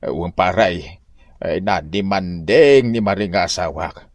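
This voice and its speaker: A man's voice: sits low, measured pace, dry recording. A man playing a stubborn, aggrieved old husband in an Ilocano comedy radio drama, grumbling and whiny with an Ilocano accent. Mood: complaining.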